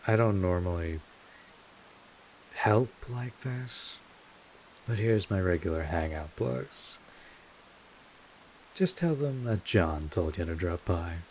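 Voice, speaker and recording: A man playing a world-weary but kindly old vampire mentor in an audio roleplay, speaking informally and in measured phrases with wry asides. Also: low-pitched, expressive, a little mellow, a little hiss or hum, exaggerated voice, poor audio. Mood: wry, amused. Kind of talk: second-person audio roleplay monologue.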